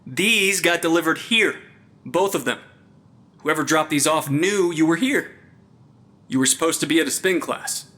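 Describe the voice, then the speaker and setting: Young male actor as a jittery, wry supernatural sleuth; bright tone, quippy and faintly pompous, comedy audio drama. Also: a little high, lively intonation, moderate pace, pauses often. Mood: uneasy, wry. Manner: dry, quippy, slightly pompous. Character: wry, nerdy, jittery man investigating the supernatural. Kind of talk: audio drama acting, naturalistic comedic banter.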